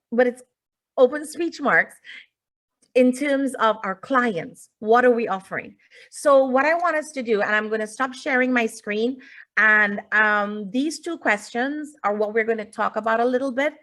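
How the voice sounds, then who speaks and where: Female teacher-presenter, native English speaker, slightly high voice, informal explanatory lecture over a video call. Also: unhurried, a bit bright. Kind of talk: informal online lecture, addressing audience. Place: indoors, online video call.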